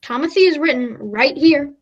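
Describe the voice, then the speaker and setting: A woman playing an earnest, melodramatic, easily flustered radio news reporter in a comic radio play, halting and polite. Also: sits high, dull audio, natural voice.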